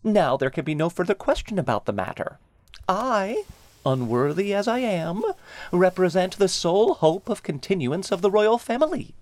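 A man's voice: high voice, sing-song, normal speed, darkish tone, voice pushed up. A man voicing a clever, smug young prince who talks in a pompous, precise way. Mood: smugly reasoning. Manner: pompous, precise, lightly amused.

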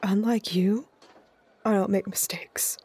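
A woman's voice: medium pitch, fairly even intonation, slow pace, noisy background, lightly acted. A woman playing a guarded, stubborn young sniper weighed down by grief, in an audio drama. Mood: cold, confident. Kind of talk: audio drama narration and dialogue.

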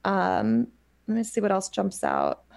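A woman's voice: medium pitch, huge pitch swings, creaky, a little mellow. Chatty woman reading cards aloud. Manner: reads card passages slowly, chatty and affirming in between. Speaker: podcast host reading oracle cards. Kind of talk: casual chat.

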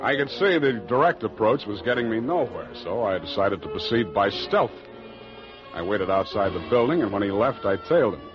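An actor playing a hard-boiled private detective in an old radio drama, sardonic and clipped, with dryly comic delivery. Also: male voice, on the low side, big pitch swings, moderate pace. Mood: deadpan narration. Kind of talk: 1940s radio acting, first-person detective narration. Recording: dull old radio recording with music.

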